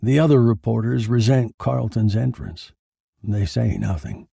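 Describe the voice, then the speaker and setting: Man as a slow, gravelly, brooding dead narrator. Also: medium pitch, mellow, no room sound, clean studio sound, heavily acted. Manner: brooding, deliberate, dramatic. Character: murdered man, a bitter, eerily detached dead narrator. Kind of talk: scripted first-person noir horror narration.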